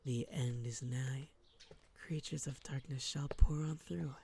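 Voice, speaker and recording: An actor playing a sly serial killer villain in an audio drama, taunting and theatrical, with a breathy voice that often drops to a whisper. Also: medium pitch, steady tone, background noise. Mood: eerie, menacing singsong. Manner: taunting, theatrical, slow and menacing. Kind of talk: audio roleplay drama acting.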